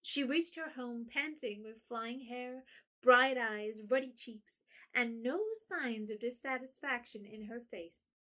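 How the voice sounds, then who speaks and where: A woman narrating a classic story in a measured, gentle manner. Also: a little high.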